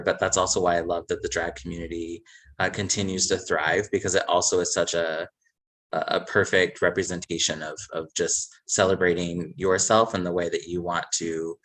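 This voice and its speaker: A man from a charity speaking earnestly on a livestream, measured and pausing often. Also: sits low, fairly bright tone. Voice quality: earnest, measured, many "uh" pauses. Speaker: charity representative. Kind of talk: casual livestream chat.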